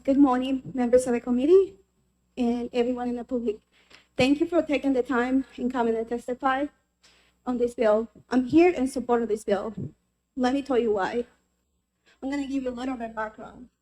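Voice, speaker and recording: A woman's voice: a little high, fairly even intonation, brisk, warm tone, low-quality recording. Earnest woman from El Salvador testifying. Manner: earnest, heartfelt, personal. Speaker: member of the public testifying. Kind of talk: personal testimony at a legislative hearing.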